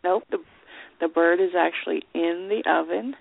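A woman's voice: fairly low pitch, moderate pace, gravelly. A middle-aged woman chatting casually as a radio show co-host, over a narrow phone-like line. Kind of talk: casual chat.

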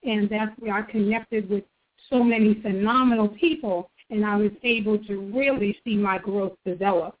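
A woman's voice: mid-range voice, fairly even intonation, normal speed. A middle-aged woman from New York, a guest author on a phone interview, telling her story in an earnest, motivational way. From New York, United States. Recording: tinny phone line.

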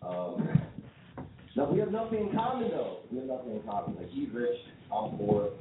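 A young comedian on a club stage, telling jokes deadpan and mocking himself. His pitch swings widely as he delivers the lines. The recording is tinny, like a phone line, with echo and loud noise behind him. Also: normal speed. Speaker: stand-up comedian. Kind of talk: stand-up set. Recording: echoey club, tinny and noisy.

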